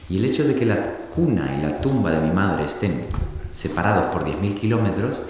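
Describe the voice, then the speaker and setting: Deep-voiced man musing in an echoey hall. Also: darkish tone. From Argentina. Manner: thoughtful, halting, with many restarts. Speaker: author at a public talk. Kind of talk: reflective public talk. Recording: echoey hall recording from a distance with faint hiss.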